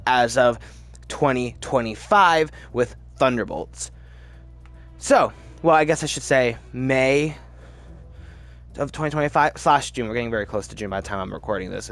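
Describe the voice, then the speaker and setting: A man hosting a casual, opinionated commentary video, talking in a rambling, emphatic way and often interrupting himself. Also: medium pitch. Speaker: video host.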